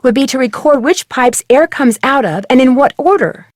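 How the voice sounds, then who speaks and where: A woman playing a polite, earnest young amateur detective in a video game, speaking clearly and with determination. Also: high-pitched, lively intonation, moderate pace, no room sound, clean studio sound.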